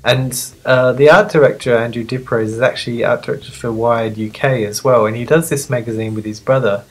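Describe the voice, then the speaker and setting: A sniffly magazine reviewer of medium-pitched voice chatting casually off the cuff, rambling, playful and self-deprecating. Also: steady tone, fairly quick. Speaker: magazine reviewer and video host.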